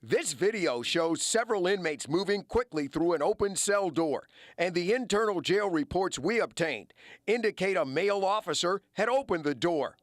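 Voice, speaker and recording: Male TV investigative reporter, American accent, fairly high-pitched, delivering broadcast news copy. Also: animated, moderate pace, no echo, clean studio sound.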